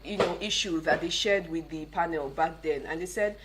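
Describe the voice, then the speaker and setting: A Nigerian woman speaks as a radio interview guest, her voice on the low side. She explains in a conversational way, and there is some noise behind her. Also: moderate pace, fairly bright tone. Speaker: interview guest, tech programme organiser. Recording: clearly audible background noise.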